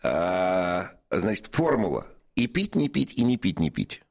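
A radio co-host, a man in his forties, with a rough, gravelly voice that swings widely in pitch. He chats casually and rambles, circling back over his words and hesitating before he lands a point. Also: huge pitch swings, unhurried, a little mellow. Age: 40s. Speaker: radio talk show co-host. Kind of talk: casual live chat.

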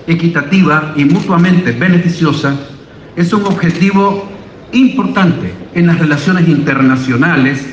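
A man reading a formal, declamatory political speech as a parliamentary delegate. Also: on the high side. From Nicaragua. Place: large conference hall. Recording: echoey hall, dull sound, faint noise.

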